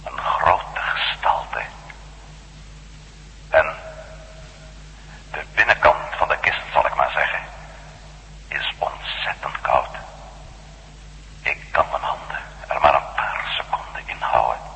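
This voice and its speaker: A former astronaut exploring a tomb in a radio drama. The voice is extremely deep and forceful, with a gravelly edge. He speaks slowly and intently, often hushed. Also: full character voice. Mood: hushed wonder. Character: male former astronaut turned reporter, exploring an ancient tomb. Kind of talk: Dutch radio drama acting. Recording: echoey, noisy radio play recording.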